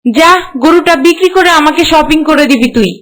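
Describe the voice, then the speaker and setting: Woman playing a very shrill, bossy young wife. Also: brisk, a bit bright. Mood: angry, threatening. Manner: scolding, commanding. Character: bossy, demanding, hot-tempered young village wife. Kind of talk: cartoon voice acting.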